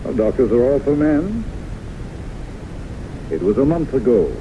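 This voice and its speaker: Earnest, animated gentleman doctor, noisy recording. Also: medium pitch, unhurried. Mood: earnest, wistful. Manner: earnest, theatrical, flowery phrasing. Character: earnest, smitten gentleman psychiatrist of 1915. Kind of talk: old-time radio drama acting. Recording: muffled, noisy 1970s radio recording.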